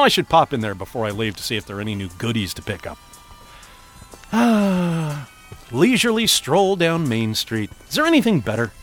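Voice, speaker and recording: An excitable, mild-mannered everyman, acted by a man, talks to himself in an audio drama. The voice is high and very animated, theatrical and chatty, with faint music behind it. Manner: theatrical, animated, self-talking. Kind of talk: audio drama monologue, thinking aloud.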